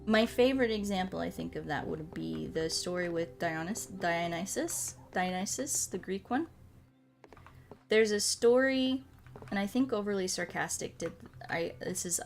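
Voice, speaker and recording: A woman's voice: fairly low pitch, gravelly. A woman streaming a game, chatting casually and thinking aloud in an informal, rambling way. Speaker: streamer and host. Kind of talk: casual livestream chat while playing a game. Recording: music playing behind the voice. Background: music.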